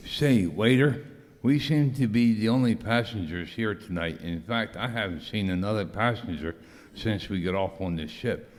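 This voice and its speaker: A man's voice: fairly low pitch, normal speed, close to an everyday voice. Man playing a puzzled passenger in echoey hall. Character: puzzled, complaining male cruise passenger. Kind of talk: amateur live comedy horror radio skit. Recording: echoey hall, long reverb.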